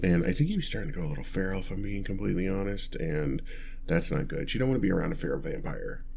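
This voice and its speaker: A man's voice: sits low, expressive, fast, creaky, a little mellow, a little hiss or hum. A man voicing an awkward, kindly, inept vampire in an ASMR roleplay, stammering and hesitating as he talks to the listener. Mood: bashful, earnest. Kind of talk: ASMR roleplay, one-sided dialogue to listener.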